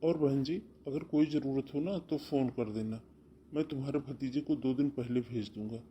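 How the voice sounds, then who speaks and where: A kindly middle-aged brother calms his worried sister in a warm, mellow voice, played by a man. He speaks in a soothing, reassuring way, as in a cartoon family tale. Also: mid-range voice, normal speed, dull audio, slight background noise, close to an everyday voice. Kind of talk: cartoon voice acting.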